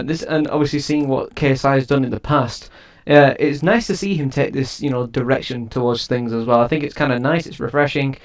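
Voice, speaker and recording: A man giving a casual spoken review of an album, rambling and often restarting his sentences. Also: medium pitch. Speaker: music reviewer and producer.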